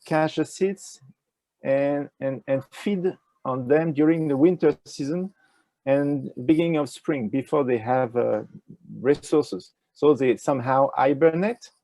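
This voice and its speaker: Older French-accented man, gravelly voice. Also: medium pitch, warm tone, no echo, poor audio. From France. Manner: halting, many fillers and restarts. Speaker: ecology professor, interview guest. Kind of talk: casual interview answers. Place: indoors with a virtual nature backdrop. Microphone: wired earphone mic on a video call.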